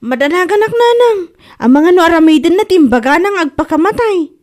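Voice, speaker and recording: Woman acting worried caring big sister, really high voice, ilocano accent, comedy drama. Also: lively intonation, brisk, darkish tone. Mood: anxious. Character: worried, caring older sister of a troubled girl.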